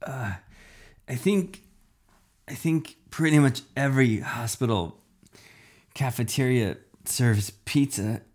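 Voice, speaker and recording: A man voicing an earnest, excitable pizza-slice boy hero in a storybook. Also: mid-range voice, takes it slowly, pauses often, pitched above the performer's own voice. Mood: woozy, joking.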